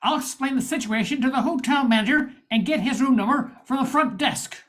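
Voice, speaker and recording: An older woman playing a confident, brisk private detective in an amateur old-time radio drama. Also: high-pitched, close to an everyday voice. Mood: determined.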